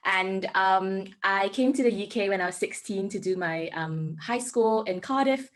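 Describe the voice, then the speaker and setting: A woman's voice: medium pitch, moderate pace. A woman in her twenties with a Singaporean accent, a medical student giving an earnest prepared talk with frequent ums and self-corrections.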